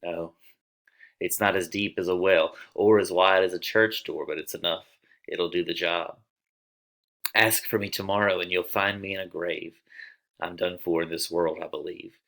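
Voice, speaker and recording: A man acts out a dying swordsman in a play reading. His voice is low and sharp-edged. He is wry and bitter, declaiming his curses. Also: steady tone, normal speed, gravelly. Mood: wry resignation.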